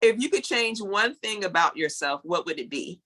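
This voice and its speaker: A woman's voice: mid-range voice, lively intonation, moderate pace, bright, forceful tone. A woman hosting a casual interview, putting short questions to a guest and acknowledging the answers. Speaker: interview host.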